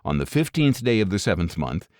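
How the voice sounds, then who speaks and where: A man reading scripture as an audiobook narrator, in a measured, solemn, clearly enunciated way. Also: on the low side, big pitch swings, darkish tone, no room sound, studio quality.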